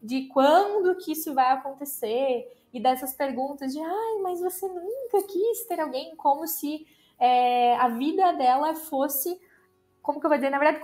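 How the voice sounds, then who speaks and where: A woman hosting a casual podcast chat, lively and chatty. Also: sits high. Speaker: podcast host.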